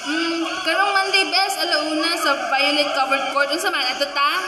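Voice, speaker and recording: Woman playing chatty, stubborn young Filipina student: high voice, amateur radio drama, echoey with loud crowd. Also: fairly even intonation, moderate pace, natural voice. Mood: earnest. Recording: echoey, very noisy crowd behind.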